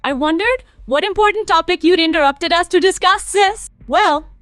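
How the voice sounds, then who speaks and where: Shrill, scornful older mother-in-law played by a woman. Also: moderate pace, a bit bright, no room sound, studio quality. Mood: dismissive. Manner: mocking, dismissive.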